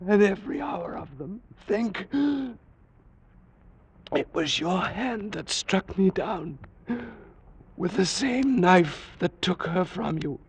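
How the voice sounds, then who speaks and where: An actor playing a bitter, jealous ancient Egyptian killer, speaking in a theatrical, taunting old-time radio style. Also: male voice, sits high, animated, slow, husky, heavily acted. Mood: dying, taunting. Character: bitter, jealous Egyptian man, vengeful murderer and alchemist. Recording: dull old radio recording.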